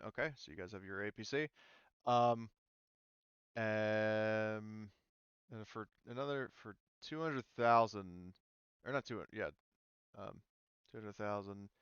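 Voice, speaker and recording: A man's voice: fairly low pitch, a bit bright, clear, resonant tone, dry recording. A man running a tabletop game as game master, talking casually and hesitantly as he thinks aloud, on a muffled voice-chat line.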